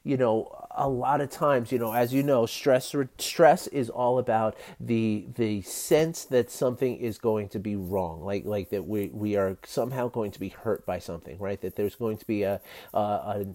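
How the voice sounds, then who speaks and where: A man with a scratchy, worn voice hosts a solo podcast, wandering through his thoughts in an earnest, unscripted way. He fills pauses often and breaks into small laughs as he goes. His voice sits a little low. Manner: rambling and earnest, frequent fillers and soft laughs. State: scratchy, tired voice. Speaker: podcast host. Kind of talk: casual solo podcast talk.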